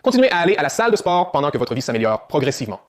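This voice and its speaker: A man's voice: fairly high pitch, big pitch swings, racing. A man coaching viewers through a back exercise, giving direct step-by-step instructions on form. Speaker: fitness coach.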